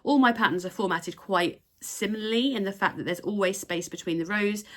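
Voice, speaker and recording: A woman's voice: medium pitch, brisk, fairly bright tone. Chatty woman vlogger, slightly nasal. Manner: chatty, enthusiastic, showing items to camera. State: nasal from a recent cold. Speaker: crochet designer and channel host. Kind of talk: casual vlog to camera.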